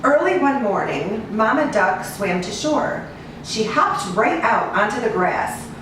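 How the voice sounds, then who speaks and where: An American woman reading a children's storybook aloud in an expressive, sing-song manner. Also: fairly high pitch. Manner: expressive, sing-song listing with drawn-out repeats. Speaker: animal cruelty investigator and rescue agent reading to children. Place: echoey indoor room, away from the mic. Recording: distant, very echoey room with hiss.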